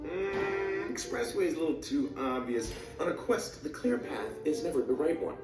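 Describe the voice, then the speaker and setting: A man playing a brash, theatrical teenage big brother obsessed with magic quests in an animated film. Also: on the high side, moderate pace, echoey room, distant mic, poor audio. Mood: persuasive. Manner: bombastic, theatrical. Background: music.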